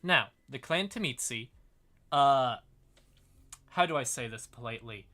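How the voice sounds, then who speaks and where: A man's voice: mid-range voice, lively intonation, a little slow, bright, forceful tone. A man hosting a casual livestream, explaining fantasy game lore in an informal, sweary, apologetic way while sounding a bit tired. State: tired, yawning. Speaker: livestream host.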